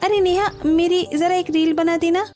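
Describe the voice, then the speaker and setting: A woman's voice: very high-pitched, steady tone, moderate pace, darkish tone, slight background noise. A woman voicing a bossy, idle young mother visiting her relatives in a cartoon. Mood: bossy, casual. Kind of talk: Hindi cartoon dialogue.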